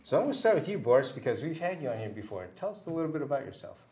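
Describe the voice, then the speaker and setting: A man's voice: medium pitch, sing-song, rapid-fire, a crowd in the background. An older man hosting a community TV interview in a studio, asking questions with short friendly affirmations. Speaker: TV show host. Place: small TV studio.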